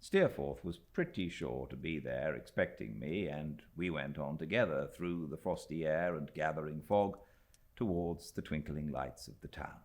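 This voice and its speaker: A British man reading a classic novel aloud as an audiobook narrator, measured and expressive, with deliberate pauses. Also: extremely low pitch. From Britain.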